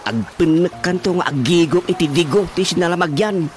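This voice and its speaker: An actor with an Ilocano accent playing a boastful, excitable husband and councilman in a radio comedy drama. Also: fairly high pitch, moderate pace, slight background noise, close to an everyday voice. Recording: music behind the dialogue.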